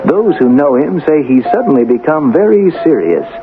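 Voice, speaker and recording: Formal, inquisitive reporter, animated pitch. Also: male voice, normal speed, over music. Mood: portentous reporting. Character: probing, educated newspaperman-style radio reporter. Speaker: actor in a radio drama. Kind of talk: vintage radio drama acting, formal reporting. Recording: lo-fi old radio recording.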